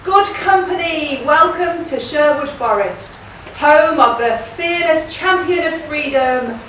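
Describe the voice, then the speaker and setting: A woman's voice: sits high, lively intonation, slow pace, a bit bright, heavily acted. A woman playing a boisterous merry forest outlaw in a stage pantomime, declaiming in an exaggerated way. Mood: proclaiming. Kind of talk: broad pantomime stage acting. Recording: echoey hall from far off, faint noise.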